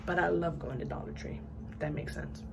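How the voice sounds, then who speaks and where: A young woman with a raspy voice, just up from sleep, chatting casually through a hair product review in a small bathroom. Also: low voice, fairly quick, background noise. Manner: chatty, rambling with fillers. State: just woke up. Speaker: beauty vlogger. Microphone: phone camera at arm's length.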